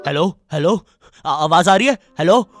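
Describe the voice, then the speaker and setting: A dying toy villain from a comedy cartoon , acted by a man, pleads with great self-importance. The voice is high and bright, swinging through urgent melodrama. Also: lively intonation, a little slow, faint music behind.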